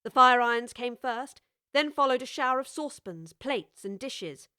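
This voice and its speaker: A woman narrating a storybook with clear, expressive scripted storytelling. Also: on the high side, normal speed, dry recording, studio quality.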